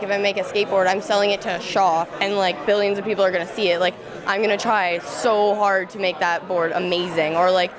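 A young adult woman giving a casual interview in informal, youthful speech peppered with "like". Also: lowish voice. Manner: heavy use of "like", informal youthful talk. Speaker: student alumni interviewee. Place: busy indoor school event room. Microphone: handheld interview microphone. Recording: busy crowd chatter behind.

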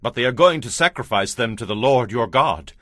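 Casting: weak-willed biblical king, defensive and pleading, very lively intonation, mid pitch, for an audio Bible drama. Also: a little fast, no room sound, clean studio sound. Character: self-justifying, weak-willed king of ancient Israel.

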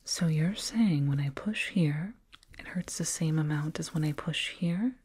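A woman voicing a soothing, condescending alien dentist in a breathy ASMR whisper, close to the mic, doting and clinical. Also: low voice. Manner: slow, doting, clinical, gently patronizing. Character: condescending yet soothing alien dentist, fussing over a little human. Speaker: ASMR roleplayer. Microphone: binaural mic, up close.

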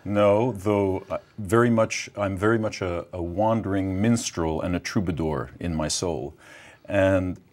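A middle-aged man from Morocco with a very low voice, sitting in a college TV studio with a lapel mic. He tells his story reflectively, with soft laughs now and then. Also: measured pace. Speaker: guest musician. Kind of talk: relaxed interview answers.

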